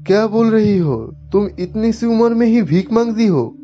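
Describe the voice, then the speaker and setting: A man playing a kindly, grateful stranger in an animated moral story. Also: high-pitched, normal speed. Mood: kindly concern. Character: grateful, kindly man who lost his bag. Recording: muffled audio with background music.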